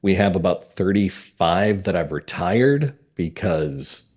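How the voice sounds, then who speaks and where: Middle aged guy with a lowish voice, explaining his work in a relaxed-format interview chat. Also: slow pace. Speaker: guest, mystery playwright and script consultant. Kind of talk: conversational interview.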